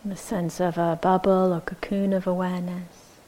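A woman teaching meditation, giving gentle, measured instructions with long pauses between short phrases. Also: fairly low pitch, little pitch movement, poor audio.

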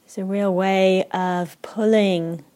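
A woman with an Israeli accent leading a guided meditation, speaking slowly and softly with long pauses. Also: mid-range voice, steady tone. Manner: slow, soft and unhurried, with long pauses. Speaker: meditation teacher.

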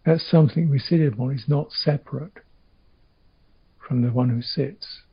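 A man teaching a guided meditation in short, reflective phrases with long pauses, his voice gravelly and husky. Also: mid-range voice, lo-fi sound.

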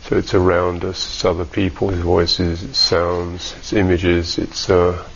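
A man teaching a guided meditation in a measured, halting way with long pauses, on a dull recording. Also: sits low, completely flat delivery, vocal fry, slight background noise.

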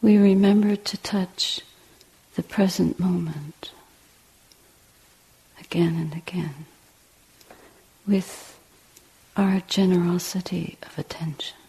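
A woman meditation teacher leading a guided meditation in a breathy, hushed voice, with deliberate short phrases and long pauses. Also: on the low side, steady tone, rough recording. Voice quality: husky, breathy, often near whisper.

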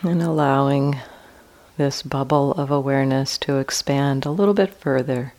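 A woman teaching a guided meditation in a calm, gentle manner with long pauses between phrases. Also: extremely low pitch.

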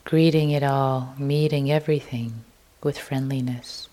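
A woman meditation teacher with a low, mellow voice guides a practice. She speaks gently and very slowly, in short soft phrases that leave space between them. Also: steady tone.

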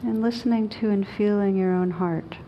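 A woman leading a guided meditation as a teacher, speaking gently and soothingly, unhurried, with long pauses. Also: mid-range voice, dull audio, a little hiss or hum.

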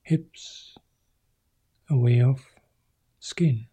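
A man leads a guided meditation in short, gentle phrases, often dropping to a breathy whisper. His voice is very dark and mellow, with a husky edge. He speaks very slowly and leaves long pauses between cues. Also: medium pitch. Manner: soft, slow phrases, often sinking to a whisper. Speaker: Buddhist monk leading meditation.

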